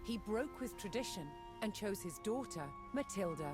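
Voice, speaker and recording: A woman reading scripted historical documentary narration in a measured, dramatic storytelling manner. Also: medium pitch, lively intonation. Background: loud cinematic background music.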